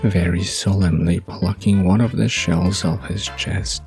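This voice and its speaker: A man's voice: low-pitched, fairly even intonation, unhurried, creaky, darkish tone, faint music behind. A man narrating a scripted romantic bedtime story as the narrator of an audio drama.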